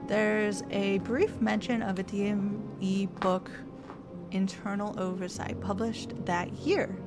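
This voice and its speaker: A woman streamer playing a game, thinking aloud and reading its text to viewers in casual commentary. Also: mid-range voice. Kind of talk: let's play commentary. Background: game music.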